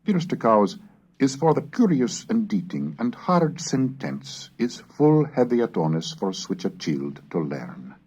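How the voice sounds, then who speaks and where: Scholarly man reading, with a lively, swooping pitch. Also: a little slow, breathy edge. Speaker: reader and lecturer of a recorded language course. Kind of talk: scripted narration and dramatic reading of Middle English texts. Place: recorded narration on cassette. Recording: dull, lo-fi 1970s cassette recording.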